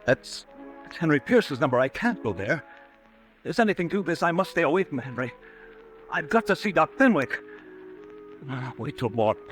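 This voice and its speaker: An actor playing a haunted, nervous businessman who fears himself, in agitated, halting, melodramatic 1940s radio style. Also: a little high, big pitch swings, a bit bright, soft background music, low-quality recording. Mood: distraught. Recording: old radio broadcast recording.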